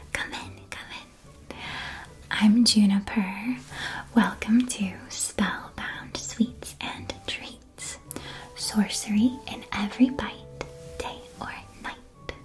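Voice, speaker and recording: A woman playing a cheerful, welcoming witch who keeps a magical sweet shop, in a hushed, gently enthusiastic ASMR roleplay. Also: medium pitch, natural voice. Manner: soft-spoken, hushed, gently enthusiastic sales patter.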